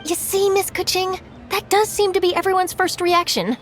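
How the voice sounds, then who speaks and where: A woman's voice: very high voice, fairly even intonation, moderate pace. An actress playing an eager, curious young woman reporter in a video game, chirpy and enthusiastic as she talks. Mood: chatty. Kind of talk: scripted video game dialogue acting. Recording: music behind the voice.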